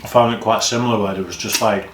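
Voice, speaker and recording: A man's voice: fairly low pitch, steady tone, fast talker. A man chatting casually, with dry, blunt and teasing remarks while tasting snacks. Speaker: vlogger. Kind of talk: casual chat. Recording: echoey room, faint noise.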